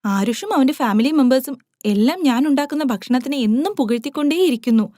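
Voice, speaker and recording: A woman voicing a lively, outspoken young city-bred newlywed in a cartoon moral story. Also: high voice, fairly quick, dry recording, studio quality. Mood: chatty. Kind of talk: animated moral-story dialogue.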